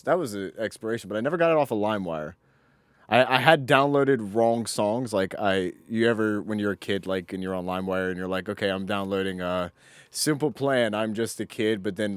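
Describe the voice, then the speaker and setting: A young adult man co-hosting a podcast, telling rambling, self-deprecating stories with casual swearing in an animated way. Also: lowish voice, smooth, no room sound. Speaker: podcast co-host. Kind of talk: casual banter and storytelling.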